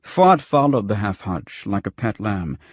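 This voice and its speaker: A man's voice: lowish voice, very animated, unhurried, husky, dry recording, clean studio sound. A man narrating scripted first-person noir fiction as an audiobook.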